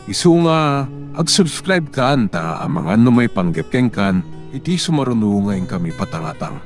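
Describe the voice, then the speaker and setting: A man's voice: mid-range voice, big pitch swings, moderate pace. A man with an Ilocano Filipino accent, narrating a drama series as its announcer in scripted style. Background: guitar music.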